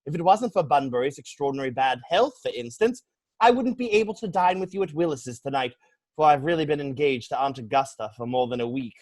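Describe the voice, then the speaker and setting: A man playing a witty, flippant young English gentleman in a stage comedy reading, declaiming with pompous mock seriousness. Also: mid-range voice, lively intonation, fairly quick, close to an everyday voice. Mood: smug, teasing.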